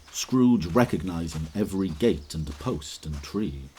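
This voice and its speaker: A man narrating a classic story in a measured, expressive reading. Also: deep voice, slightly rough.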